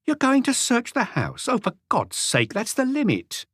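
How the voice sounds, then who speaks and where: A man's voice: extremely high pitch, huge pitch swings, moderate pace, a bit bright, husky, dry recording, studio quality, voice pushed up, full character voice. A man voicing a suspicious, ill-tempered, defiant housewife who fires indignant questions in an audiobook. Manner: snappish, indignant, challenging questions. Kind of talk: audiobook character voice.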